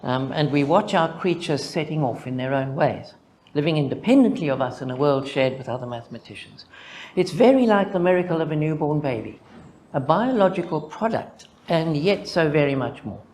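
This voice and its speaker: An older man with a Zimbabwean accent, a mathematician reading a prepared conference paper in a deliberate, reflective way, building rhythmic lists. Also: on the high side, lively intonation, a little mellow, a little hiss or hum.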